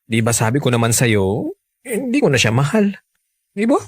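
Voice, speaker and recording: A Filipino man acting in a radio romance drama as a smooth-talking, unfaithful married man, earnest and persuasive. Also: mid-range voice, wildly swooping pitch, normal speed, no room sound. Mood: earnest pleading. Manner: coaxing, earnest.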